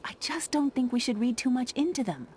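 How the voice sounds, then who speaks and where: An actress playing a sceptical, affectionate young woman in a fantasy game, talking naturally with gentle exasperation. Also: sits high, lively intonation, brisk, a little hiss or hum. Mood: weary, affectionate. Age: young adult.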